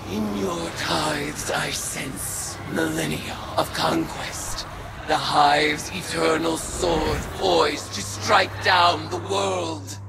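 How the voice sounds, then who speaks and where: An actress playing a vengeful woman turned dark alien war god in a video game, speaking in a solemn, imperious, grandiose manner. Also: low-pitched, fairly even intonation, vocal fry, exaggerated voice. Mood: commanding. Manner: grandiose, deliberate, theatrical delivery. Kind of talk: video game dramatic monologue. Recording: loud music under the voice.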